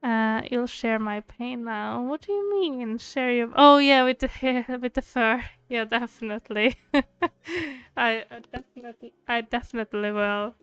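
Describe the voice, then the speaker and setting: A woman's voice: fairly high pitch, normal speed, a bit bright, clear, resonant tone, no room sound, highs cut off. A woman streamer chatting casually and affectionately while she plays a game. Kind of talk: casual livestream chat. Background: game music.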